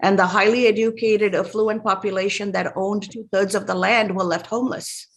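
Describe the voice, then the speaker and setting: A woman from Sri Lanka hosting a podcast interview, speaking in a measured, empathetic and reflective way. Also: medium pitch, fairly even intonation. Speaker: podcast host. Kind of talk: interview conversation.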